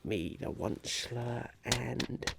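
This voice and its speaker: A man playing a scheming, self-important businessman in a soft-spoken ASMR roleplay, halting and musing as he repeats himself. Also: mid-range voice, gravelly, noisy background.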